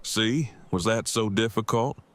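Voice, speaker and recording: A man's voice: lowish voice, measured pace, fairly bright tone, no echo. A man playing a pedantic, earnest survivor in an audio drama, speaking in stiff, precise, formal phrases. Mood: formal, fussy.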